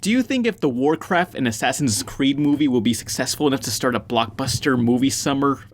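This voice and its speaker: A male podcast host chats casually in a mid-pitched voice. He is blunt and sweary and rambles through explanations, with some background noise on his online call. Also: brisk. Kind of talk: casual panel banter, profane.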